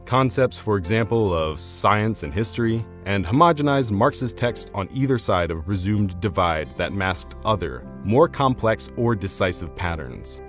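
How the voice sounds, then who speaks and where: A man reading scripted narration in a formal, argumentative, bookish manner. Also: low-pitched. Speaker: video essay narrator.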